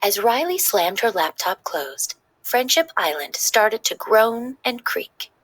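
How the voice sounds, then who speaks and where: Husky, expressive woman reading a storybook. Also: medium pitch, takes it slowly, no echo. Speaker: children's story reader. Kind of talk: expressive scripted read-aloud narration.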